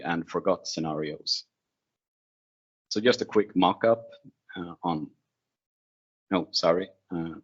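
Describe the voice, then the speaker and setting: A man who is not a native English speaker, giving an informal technical presentation in a conversational, slightly hesitant way. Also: low-pitched. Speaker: presenter, IT security consultant. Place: online video call screen share. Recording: dull, lo-fi online meeting audio.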